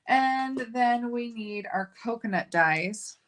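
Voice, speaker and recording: A woman's voice: a little high, slow, fairly bright tone. A woman hosting a casual live card-making tutorial, chatting as she works and joking about her own slips. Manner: chatty, self-narrating, laughs at own slips.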